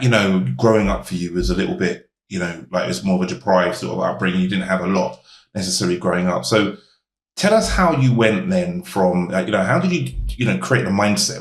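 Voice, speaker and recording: Host, man, deep voice, casual questions, echoey room. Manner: leans on "you know" while asking. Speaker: podcast host. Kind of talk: interview questions.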